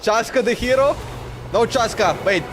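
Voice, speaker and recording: A man doing casual live gameplay commentary, informal and quick to exclaim as he reacts to the game. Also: very high voice, noisy background. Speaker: game streamer. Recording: game music behind the voice. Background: video game music.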